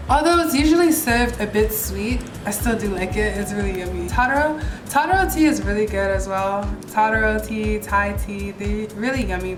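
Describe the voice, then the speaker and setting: Young american girl vlogging, gushy and rambly, mid-range voice, kinda echoey room. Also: medium pitch. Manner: chatty, gushing, rambling. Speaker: travel vlogger. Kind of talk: casual vlog chat. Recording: roomy echo.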